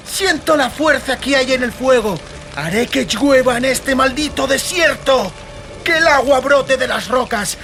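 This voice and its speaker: A woman's voice: sits high, a bit bright, full character voice. A woman playing a fierce, proud young sorceress girl in an audiobook drama, declaring things emphatically.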